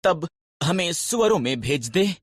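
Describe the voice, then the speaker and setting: A man plays frightened demons from a Bible storybook. The voice is pushed higher and swings expressively. It pleads in torment to be left alone. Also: very animated, unhurried, breathy edge, no room sound, studio quality, full character voice. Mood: pleading, fearful. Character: frightened pleading evil spirits possessing a man.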